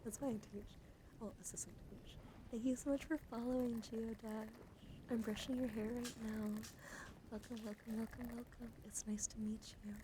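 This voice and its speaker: A woman streamer speaking softly and soothingly in an ASMR personal-attention style, often slipping into a whisper. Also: on the high side, steady tone, moderate pace. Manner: soft-spoken, often drops into whispering; gentle, soothing, coaxing. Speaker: live streamer host. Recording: noisy live stream with clear background noise. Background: background noise, dog outside.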